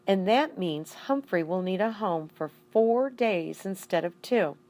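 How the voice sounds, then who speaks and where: A woman's voice: medium pitch, animated, unhurried, a little mellow, close to an everyday voice. A woman voicing a stern, businesslike female schoolteacher in a children's story, with a firm classroom address.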